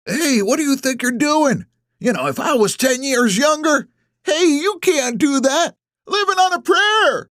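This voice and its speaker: A rowdy old man, pitched way up and strained. He is querulous and insistent, calling out loudly in storybook dialogue. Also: animated, measured pace, no room sound, studio quality, full character voice. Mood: indignant. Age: older. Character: rowdy cranky old male bar patrons heckling and requesting songs. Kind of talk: acted audiobook dialogue.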